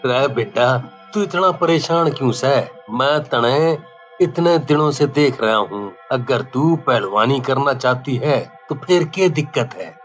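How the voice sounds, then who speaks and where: A man plays the ghost of a wrestler father in a cartoon, with a Haryanvi accent and a measured, slow delivery. He is firm but loving toward his daughter. The audio is slightly muffled, with faint music behind. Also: mid-range voice, expressive, lightly acted. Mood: firm, fatherly. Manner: firm, unhurried fatherly speech.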